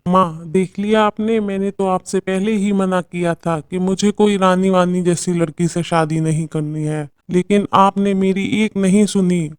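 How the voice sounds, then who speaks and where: A woman's voice: lowish voice, steady tone, a little slow, hardly any pauses, smooth, dry recording, clean studio sound, natural voice. A woman voicing an earnest young husband who reasons and pleads with his mother. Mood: exasperated.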